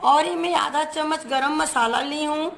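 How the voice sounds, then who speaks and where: Hoarse woman hosting a home cooking video, chatty step-by-step explainer, slight fry in her voice. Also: high-pitched, measured pace, slight background noise. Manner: chatty, explains each step while showing ingredients. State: hoarse from a cold. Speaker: cooking channel host.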